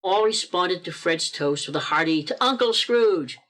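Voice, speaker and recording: A man narrating an amateur radio play in a steady storytelling manner, recorded over a video call. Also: sits high, normal speed. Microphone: home video call mic.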